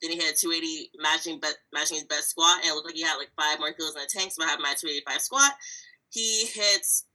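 A woman's voice: low voice, steady tone, fast, bright, forceful tone, poor audio. A woman from Virginia co-hosting a casual sports podcast, running through predictions in a chatty way with lots of "like" fillers. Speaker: podcast co-host.

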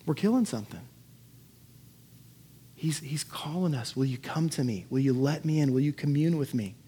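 A man's voice: fairly high pitch, lively intonation, moderate pace, warm tone, slightly rough. A man preaching as a pastor in a conversational sermon, earnest and given to emphatic repetition. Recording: echoey hall with long reverb.

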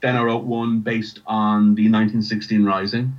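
A man's voice: fairly low pitch, level tone, moderate pace, highs cut off, soft background music. An Irish man talking casually as an interview guest, hesitant, often repeating words and restarting his phrases. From Ireland. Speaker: writer and podcast creator, interview guest.

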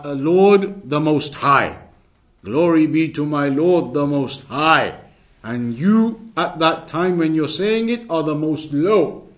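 Higher-voiced man giving an Islamic lesson. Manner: didactic, deliberate, repeats key phrases for emphasis. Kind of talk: religious lecture, explaining Arabic texts in English.

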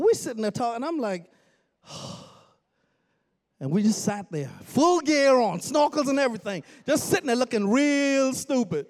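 Animated high-voiced preacher from the Bahamas. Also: male voice. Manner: animated, jokes with congregation, bursts into shouts. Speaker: pastor. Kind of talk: storytelling sermon. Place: church sanctuary.

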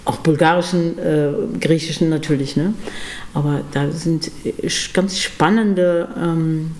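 Woman, middle-aged, interview guest, low pitch, mellow tone, hesitant, reflective. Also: steady tone, a little slow. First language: German. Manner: thoughtful, many hesitations and fillers. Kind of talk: casual interview conversation.

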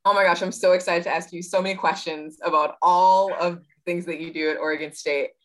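A woman's voice: fairly low pitch, fairly even intonation, fairly quick, rough recording. A woman co-hosting a podcast in an upbeat, friendly conversational style. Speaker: podcast co-host, admissions advisor.

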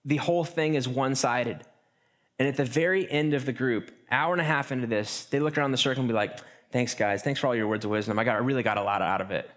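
A man's voice: medium pitch, a bit bright. Conversational male pastor preaching. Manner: conversational, with restarts and acted asides. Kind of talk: sermon.

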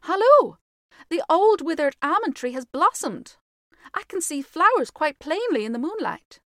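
Storybook boy twin played by a woman: high, shrieking, excitable, mischievous. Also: moderate pace, no echo, studio quality, exaggerated voice. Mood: gleeful excitement.

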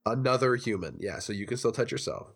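A man's voice: medium pitch, lively intonation, fast talker, creaky, fairly bright tone. A man co-hosting a casual podcast, playfully putting questions to his friends. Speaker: podcast co-host asking the questions. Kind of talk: casual chat.

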